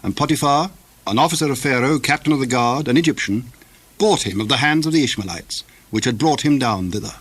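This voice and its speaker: Measured male scripture narrator, medium pitch. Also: fairly bright tone, breathy edge. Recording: older recording with faint noise.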